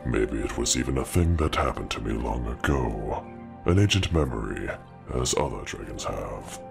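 A man voicing a huge blue dragon in a fantasy audio drama, grave and brooding, with a slow rumbling delivery. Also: lively intonation, gravelly, over music, full character voice. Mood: pensive, grumbling.

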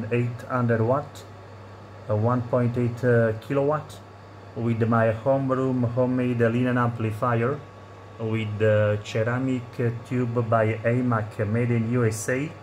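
Italian-accented man on ham radio, noisy recording. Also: mid-range voice. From Italy. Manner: repeats phrases, speaks in formulaic radio procedure. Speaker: amateur radio operator. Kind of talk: ham radio contact with phonetic call signs. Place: indoors at a radio set. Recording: noisy handheld room recording.

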